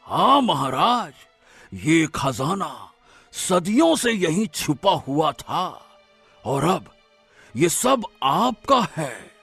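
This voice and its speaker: A man voicing a sly, sinister tantric sorcerer in a cartoon story, with a gravelly, husky voice and a wheedling, drawn-out delivery. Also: on the high side, big pitch swings, fairly bright tone, no room sound, faint music behind, heavily acted. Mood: sly, coaxing.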